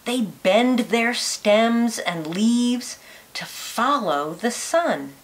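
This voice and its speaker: A woman reading a children's educational picture book aloud like a teacher, phrasing it carefully and deliberately for young listeners. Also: a little high, lively intonation, a little hiss or hum.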